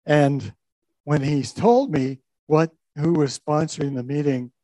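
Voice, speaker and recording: An older man, a pastor, recounts his own story in a warm, mellow voice. He talks reflectively, with small restarts along the way. The sound is close and dry. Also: on the high side, measured pace, dry recording, low-quality recording. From Canada. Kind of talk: personal testimony talk.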